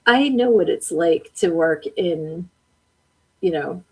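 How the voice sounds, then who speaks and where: A woman's voice: fairly low pitch, animated, slow, darkish tone, slightly rough, low-quality recording. A woman hosting a live-stream podcast, reading viewer comments aloud and chatting back with frequent laughs. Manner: chatty, laughs often, talks back to the chat. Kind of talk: casual live-stream chat.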